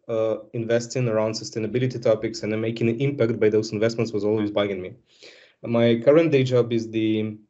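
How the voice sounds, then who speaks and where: A man's voice: on the low side, a little mellow. A man in his thirties from Belarus, giving a fluent, businesslike account of his studies as a student speaker. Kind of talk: personal testimonial. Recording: dull lo-fi sound.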